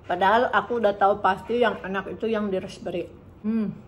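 A woman in her thirties from Indonesia, chatting casually through a food review and humming while she eats, filmed in a bright living room by a camera on the table. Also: mid-range voice, fairly even intonation, fairly quick, a little hiss or hum. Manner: chatty, savoring, hums while eating. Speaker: food vlogger. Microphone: camera on table in front.

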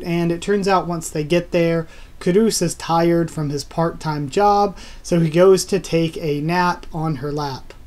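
A man's voice: a little high, a little mellow. Young man, twenties, nasal from a cold; eager rambling webcam reviewer in a small home room. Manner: enthusiastic, rambling with many fillers. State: stuffed-up nose, nasal. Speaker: manga reviewer. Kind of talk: casual video review.

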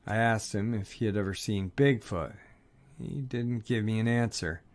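A man narrating listeners' first-person encounter stories in a steady, measured read. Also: on the low side.

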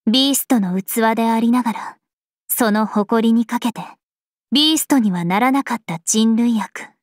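A woman playing a regal, serene vampire princess of a video game, speaking formally and with measured poise. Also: fairly high pitch, no room sound, studio quality, close to an everyday voice. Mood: serene, pensive. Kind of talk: scripted mobile game character voice lines.

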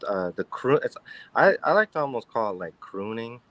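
A baritone man being interviewed as a guest musician over a video call, talking in a halting, rambling way with many restarts. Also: sing-song, a bit bright, no echo. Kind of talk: casual interview chat. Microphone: webcam on a video call.

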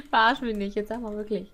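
Young woman gamer with a high, unhurried voice. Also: a bit bright. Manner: giggly, playful, exclaiming. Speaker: let's play host. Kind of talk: casual gaming commentary with a co-player. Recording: game music behind the voice. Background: video game music.

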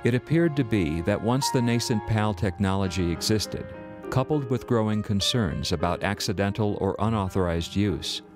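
A man's voice: on the low side, expressive, moderate pace, over music. An American man reading scripted documentary narration.

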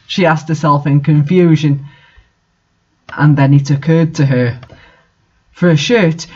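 A man's voice: a little high, dull audio. A man reading fan fiction aloud in a theatrical, wry way, with casual asides.

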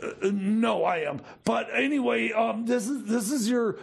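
Man doing a high, creaky, stammering stoner cellmate. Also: full character voice. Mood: rambling, generous. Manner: stammering, muttering, halting. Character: male stoner cellmate, scatterbrained, generous, shifty. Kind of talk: comedy radio theater.

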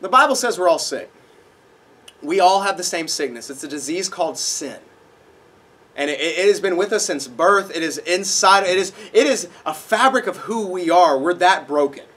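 A young man preaching a sermon in a dark auditorium, a little nasal from a cold, animated and acting out voices in his stories. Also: high voice, moderate pace, slightly rough. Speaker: pastor. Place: dark auditorium with projected screen.

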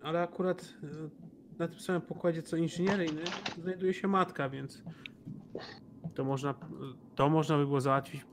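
A man's voice: fairly high pitch, fairly even intonation, moderate pace, noisy background. A man playing a tabletop role-playing game, casually discussing plans with other players.

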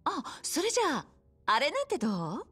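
An actress playing a friendly bar hostess in a video game cutscene, chatty and encouraging, with soft feminine phrasing. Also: female voice, high-pitched, wildly swooping pitch, normal speed, full character voice. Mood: coaxing, helpful. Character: friendly, coaxing bar hostess, a familiar big-sister confidante.

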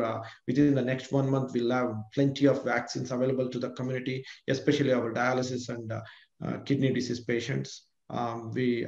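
A man's voice: mid-range voice, creaky, darkish tone. A man speaking as a kidney specialist on a video call, encouraging and reassuring. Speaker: nephrologist. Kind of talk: panel discussion. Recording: roomy, dull video call audio.

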